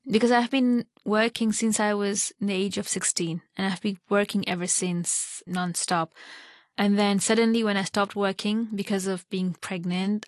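A woman's voice: mid-range voice, no echo, clean studio sound. A woman in her thirties from Hong Kong, answering interview questions as a small-business owner in long, chatty explanations.

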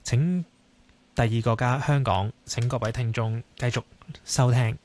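A man's voice: mid-range voice, fairly even intonation, measured pace, a little mellow. Formal male station announcer.

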